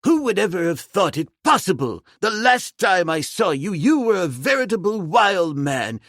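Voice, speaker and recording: A male reader becomes an excitable, prim old scholar, fussy and full of wonder. His voice rises high and slow with astonishment. Dry, close storybook reading. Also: no room sound, exaggerated voice. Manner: exclaiming, prim, old-fashioned. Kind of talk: audiobook character voice.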